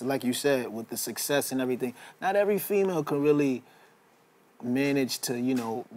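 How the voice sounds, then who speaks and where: A man's voice: medium pitch, a little mellow. A man in his late twenties, a rap artist being interviewed, answering in a laid-back, slangy way and laughing easily. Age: 20s.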